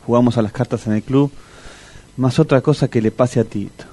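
A man's voice: medium pitch, fairly even intonation, a little fast, warm tone, lightly acted. An actor playing a simple, earnest, complaining Argentine man in a comic radio play, rambling colloquially. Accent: Argentine, Rioplatense.

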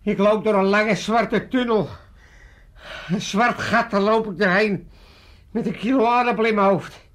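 A man's voice: sits high, steady tone, a little slow, pauses often, fairly bright tone. An old father with a raised voice, badly hungover and full of self-pity. He groans and complains with grand theatrical flourish, in the manner of a comic radio play. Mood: groaning, suffering. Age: older. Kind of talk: comic radio drama acting.

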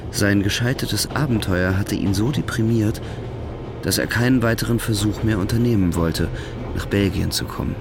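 A man's voice: fairly low pitch, moderate pace, vocal fry, noisy background. A man reading scripted literary narration in German as the narrator of a drama.

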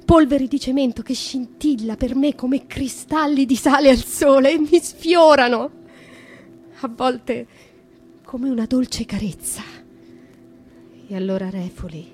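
A woman's voice: sits high, darkish tone, slight background noise. An imprisoned young noblewoman. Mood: dreamy, wistful. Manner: lyrical, slow and reflective. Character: young noblewoman imprisoned in a dark cell, brooding. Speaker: actress. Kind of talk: radio drama monologue.

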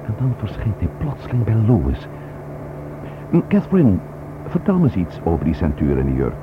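A man's voice: lowish voice, huge pitch swings, normal speed. An actor playing an attentive, inquisitive man in a radio play, talking in a conversational, reassuring way. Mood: puzzled, earnest. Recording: noisy, tinny narrow-band radio play recording.

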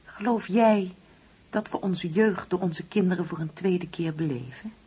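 An actress playing a wistful, uneasy older mother in a Dutch radio play, speaking hesitantly and brooding, at times hushed. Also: on the low side, unhurried, husky. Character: wistful, uneasy ageing mother grieving an absent son.

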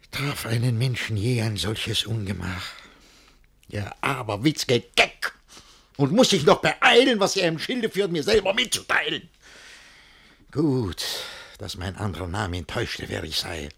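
An actor playing a jealous, pompous, panicky middle-aged master in a theatrical verse comedy for radio, raspy and breathless, swinging between muttering and bellowing. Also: male voice, mid-range voice, very animated, takes it slowly, pauses often, fairly bright tone, heavily acted. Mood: indignant.

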